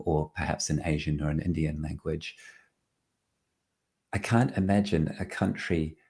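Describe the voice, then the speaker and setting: A man's voice: very deep voice, vocal fry, a little mellow, husky. A man with a New Zealand accent hosting a podcast, interviewing conversationally and reflectively as he feels out his questions. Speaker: podcast host.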